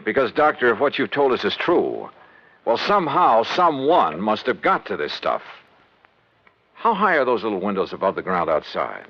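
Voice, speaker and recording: Tough suspicious radio investigator, very lively pitch, muffled old 50s broadcast. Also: male voice, normal speed, creaky, full character voice. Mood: probing. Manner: probing, skeptical. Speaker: lead radio actor. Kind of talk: 1950s radio detective drama acting. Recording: dull vintage 1950s radio recording.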